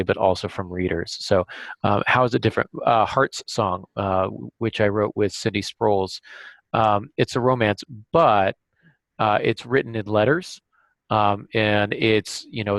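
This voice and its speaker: A man hosting a podcast, giving casual writing advice in a halting way, as if thinking aloud. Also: lowish voice, fairly bright tone, no echo, poor audio. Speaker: podcast host and novelist. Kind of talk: casual instructional discussion.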